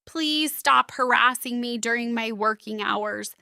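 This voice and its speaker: Woman as no-nonsense big sister in an animated story: high forceful voice, proud, firm, formal. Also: unhurried, vocal fry, clear, resonant tone, no echo, clean studio sound, full character voice. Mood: firm, indignant. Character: blunt, proud older sister running a diner. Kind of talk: animated story character acting.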